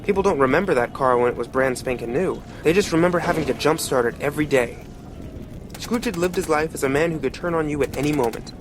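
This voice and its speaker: A man's voice: a little high, noisy background, natural voice. A man playing a wry, streetwise storyteller, narrating a motion comic in a folksy, reflective, colloquial way. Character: wry, streetwise male storyteller musing on death.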